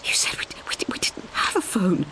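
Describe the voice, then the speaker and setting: A woman's voice: a little fast, whispered, lightly acted. A woman voicing a frightened, jumpy woman in an audiobook, stammering and trembling on the edge of panic. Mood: panicked.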